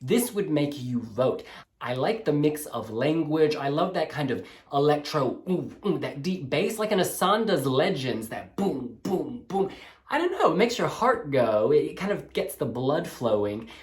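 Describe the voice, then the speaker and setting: A man's voice: a little high, very animated, measured pace. A man hosting a music blog video, gushing and sassy as he casually reviews song demos. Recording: roomy echoey room.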